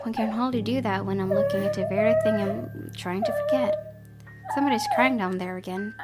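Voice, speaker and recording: A woman with an expressive, excitable delivery, reading a horror visual novel aloud as a let's play host and reacting to it. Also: mid-range voice, fairly quick. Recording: loud game music behind voice. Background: loud game music.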